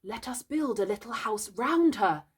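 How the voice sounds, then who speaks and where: A woman's voice: on the high side, expressive, measured pace, slightly rough, voice pushed up. A woman voicing a young boy leader in a storybook, stern and cocksure, with imperious, captainy delivery. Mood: firm. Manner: captainy, imperious, clipped orders. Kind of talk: storybook character voice.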